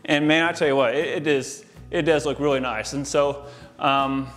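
Higher-voiced American man, enthusiastic installer. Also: steady tone, normal speed, fairly bright tone, faint music behind. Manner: enthusiastic, informal. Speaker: home audio-video installer giving a tour. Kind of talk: casual walk-through presentation to camera. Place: inside a large, mostly empty house.

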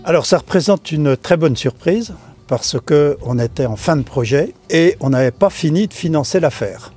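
A man's voice: fairly high pitch, expressive, normal speed, a little mellow, slightly rough, faint music behind. An older man from France explaining the funding of a restoration in an outdoor interview, hesitant with many fillers and restarts. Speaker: association member. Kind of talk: interview answers, casual explanation. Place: outdoors on a stone chapel tower balcony.

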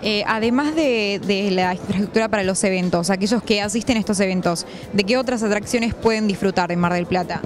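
A young woman with an Argentine accent, a TV reporter introducing guests and asking questions in a crisp presenter way, holding a handheld mic. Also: medium pitch, a little fast, few pauses, music in the background. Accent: Argentine Rioplatense Spanish.

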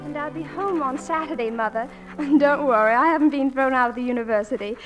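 A woman's voice: sits high, quick pace, music in the background, natural voice. A woman acting a breezy, affectionate eighteen-year-old student daughter in 1940s-style radio drama. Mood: gushing, affectionate.

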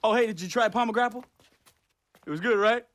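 A man's voice: sits high, fairly quick, fairly bright tone. A man playing an easygoing young tech worker in a video game, casual and joking. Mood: teasing. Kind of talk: video game cutscene acting.

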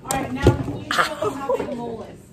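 High animated woman teaching across a classroom. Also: fast talker, heavy background noise. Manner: questions the class as she explains. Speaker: chemistry teacher. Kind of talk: classroom lecture. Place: school classroom with students. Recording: echoey classroom, far from the mic. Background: loud chatter of students.

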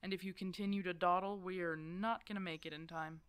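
A woman's voice: fairly low pitch, steady tone, a little fast, clear, resonant tone, no echo, close to an everyday voice. A woman playing a stubborn, protective older werewolf hermit in an ASMR roleplay drama, blunt and teasing in a casual way. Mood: bossy, exasperated. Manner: teasing, blunt, casual one-sided dialogue.

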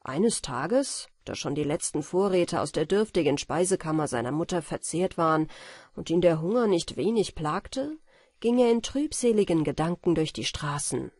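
A woman with speech reads a fairy tale as an audiobook narrator, in a soft, measured and expressive storytelling manner. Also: lowish voice, no room sound, studio quality. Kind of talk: scripted fairy tale narration for bedtime listening.

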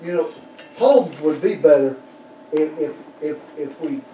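An older man preaching a sermon in a small church, building to shouted peaks and dropping to quiet prayer. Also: on the high side, expressive, measured pace. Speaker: pastor. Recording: roomy church echo from far off, background noise.

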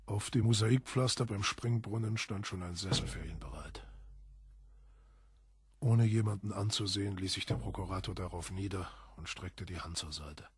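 A man's voice: deep voice, normal speed, husky, no echo. A man reading scripted literary narration.

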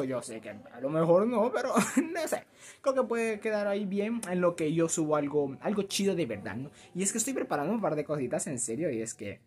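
High-voiced young Latin American man, rambling. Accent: Latin American Spanish. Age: teens to early twenties. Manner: animated, rambling, self-deprecating. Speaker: YouTuber reacting to a fan dub. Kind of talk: casual chat to camera. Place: small cluttered room at home.

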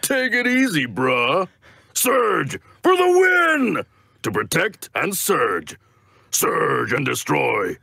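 A man voicing a boisterous, hyped-up robot hero in raspy video game catchphrases. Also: fairly high pitch, huge pitch swings, slow pace, bright, forceful tone, no echo, clean studio sound, exaggerated voice. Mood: cool swagger. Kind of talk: video game character lines.

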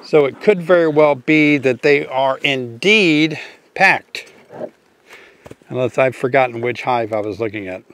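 A man's voice: mid-range voice, a little slow, a bit bright, slight background noise. An older man giving a chatty, enthusiastic beekeeping tutorial outdoors in an apiary, talking straight to viewers. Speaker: beekeeping teacher. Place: outdoor apiary, grassy field.

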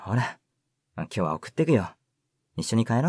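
Sleazy, husky ladies' man. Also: fairly low pitch, sing-song, moderate pace, a little mellow, dry recording, clean studio sound. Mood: coaxing. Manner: flippant, coaxing. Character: smooth-talking sleazy male voice actor, two-faced. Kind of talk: audio drama acting.

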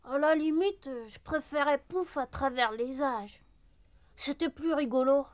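High-voiced, hesitant little animal. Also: female voice. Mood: skeptical. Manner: hesitant, drawn-out. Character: hesitant, gentle young male cartoon animal, greedy little glutton. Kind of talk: French cartoon dubbing.

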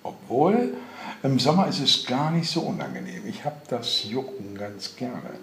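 Give the part of a wheedling waif girl to a man reading aloud: coquettish, dreamy and impetuous, with pitch that swings widely. It is a theatrical literary reading in an echoey room. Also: huge pitch swings, a little slow, creaky, recorded from a distance, noisy background, heavily acted. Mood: coy, dramatic.

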